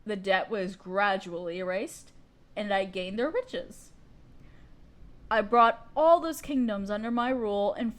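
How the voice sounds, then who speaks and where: A woman's voice: medium pitch, lightly acted. A woman playing a haughty evil queen and sorceress in an audio roleplay, speaking in a theatrical, condescending, commanding way. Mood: proud, resolute. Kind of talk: scripted audio roleplay monologue.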